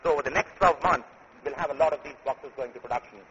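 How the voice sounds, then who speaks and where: A man presenting his company at a conference, speaking in a halting way with many filler sounds. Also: on the high side, a bit bright. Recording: muffled recording with faint noise.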